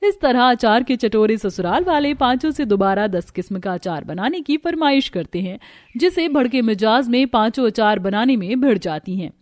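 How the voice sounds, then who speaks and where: A woman's voice: on the high side, expressive, moderate pace, a little mellow, no room sound. A woman storyteller giving scripted narration for a family cartoon. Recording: music bed under the voice.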